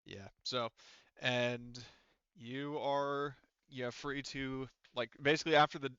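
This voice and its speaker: A man working as game master, narrating a tabletop roleplaying game in an informal, halting way as he thinks aloud. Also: medium pitch, steady tone, fairly bright tone, clean tone, no room sound, lo-fi sound.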